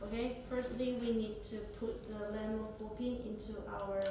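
A young woman demonstrates a product step by step, speaking in halting phrasing. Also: a little high, little pitch movement. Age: young adult. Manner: halting non-native English phrasing. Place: small indoor office or meeting room. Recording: echoey room, distant mic, noisy background.

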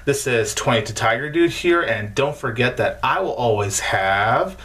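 A man's voice: mid-range voice, lively intonation, moderate pace, a bit bright. A man in his twenties reviewing movies casually to camera, leaning on emphatic words, recorded indoors in a small room. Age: 20s.

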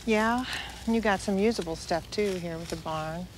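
A woman's voice: on the low side, moderate pace, a little hiss or hum, rough recording. A woman, chatting casually outdoors. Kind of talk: casual couple chat. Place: outdoors on farmland.

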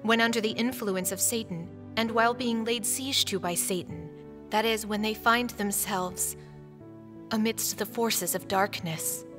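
A woman's voice: medium pitch, steady tone, a little slow, lightly acted. A woman acting a devout, steadfast middle-aged mother in a stage drama, speaking with earnest conviction. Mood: solemn, reverent. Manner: earnest, pleading, preaching. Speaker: stage actress. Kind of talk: earnest stage play acting, English dub. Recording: reverberant stage hall, music behind.

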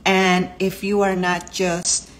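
A Spanish-accented woman in her thirties speaks earnestly to the camera from her living room and explains things in a casual, direct way. Her voice sits a little low for a woman. A faint hum of the room is heard behind her. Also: completely flat delivery, slow. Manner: earnest, explanatory, lists terms one by one. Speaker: vlogger and doctor. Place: home living room, indoors.

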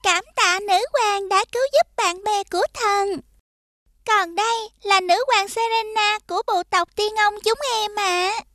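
Very high, bright young heroine. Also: female voice, fairly even intonation, measured pace, dry recording, clean studio sound, exaggerated voice. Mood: polite. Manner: earnest. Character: sweet, earnest young heroines, girl and fairy. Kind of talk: animated fairy tale dub acting.

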